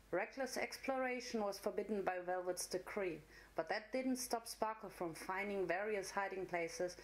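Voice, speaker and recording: A woman's voice: medium pitch, poor audio. A woman reads a fantasy tale aloud as its narrator. Her delivery is that of a dramatic story reading, with a mid-range voice.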